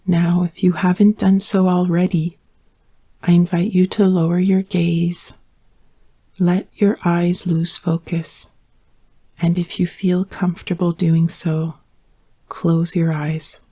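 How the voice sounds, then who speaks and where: A woman leading a guided yoga nidra relaxation in a calm, soothing manner, leaving long pauses between gentle instructions. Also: on the low side, husky.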